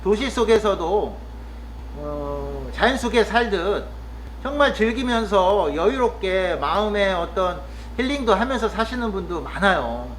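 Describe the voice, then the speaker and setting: High-voiced man musing thoughtfully. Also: big pitch swings, fairly bright tone, slight background noise, low-quality recording. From Chungcheong, Korea. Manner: thoughtful, musing, with frequent pauses and fillers. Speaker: vlogger living in the countryside. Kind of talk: casual reflective monologue.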